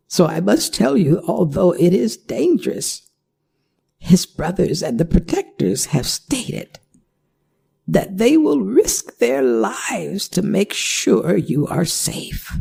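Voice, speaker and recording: A performer voicing a worried, gentle older werewolf mother in an ASMR audio drama, speaking in halting, pleading phrases. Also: mid-range voice, vocal fry, husky, exaggerated voice.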